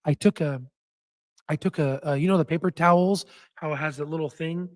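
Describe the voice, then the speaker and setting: Hoarse man rambling about stocks. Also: a little high, fairly even intonation, fairly quick, no echo. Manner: rambling with many fillers and restarts. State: hoarse, voice nearly gone. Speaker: stock market channel host. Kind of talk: casual chat and stock analysis.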